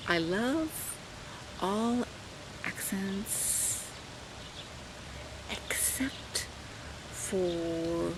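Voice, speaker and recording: Gravelly older Canadian woman vlogging. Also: mid-range voice. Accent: Canadian, Southern Ontario. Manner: rambling, many fillers and asides. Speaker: vlogger and teacher. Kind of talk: casual chat to camera. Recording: home recording with clear background noise.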